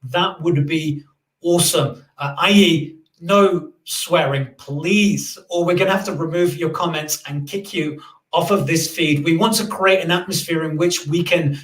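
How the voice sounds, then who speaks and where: A man's voice: on the high side, a little slow, a bit bright, reverberant, rough recording. A man hosting a live podcast interview, talking casually with many fillers and restarts. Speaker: podcast host.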